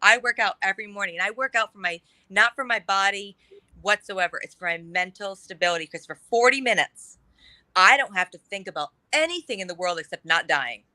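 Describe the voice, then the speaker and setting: Bright, candid middle-aged woman. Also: medium pitch, lively intonation, fairly quick, dry recording. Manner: candid, emphatic, talks in long run-on stretches. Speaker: guest. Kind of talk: casual conversation on a talk show.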